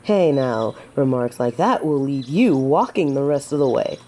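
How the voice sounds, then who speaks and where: A woman's voice: rumbling low, animated, normal speed, mellow, slight background noise. A woman playing a gallant, teasing yet earnest female knight in a romantic fantasy audio drama, with formal, old-fashioned phrasing. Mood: playful, teasing.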